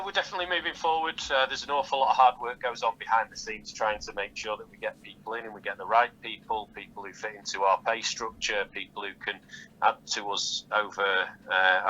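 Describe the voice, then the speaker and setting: Deep, monotone man on a dull phone call. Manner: halting, hesitant phrasing with repeated words. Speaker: football manager being interviewed. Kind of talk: phone interview, measured answers. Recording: dull, lightly noisy phone call audio.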